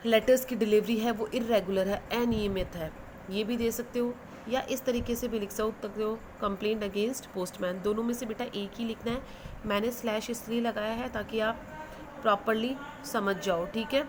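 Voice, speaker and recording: A woman's voice: fairly high pitch, noisy background. A woman with an Indian accent teaching English to school students, explaining instructively and switching between Hindi and English phrases as she repeats and translates.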